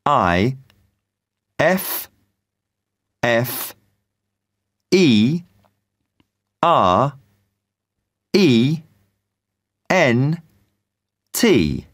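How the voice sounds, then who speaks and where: A woman narrating an exam recording, reading numbers, words and spellings slowly and deliberately. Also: rumbling low, very animated, no echo, studio quality.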